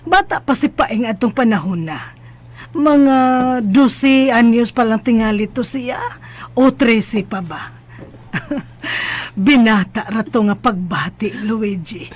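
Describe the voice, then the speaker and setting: An actress playing a composed, fond middle-aged madam in a radio drama, with a Visayan Philippine accent, a husky voice and a measured, gently dismissive manner. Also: female voice, fairly high pitch, animated.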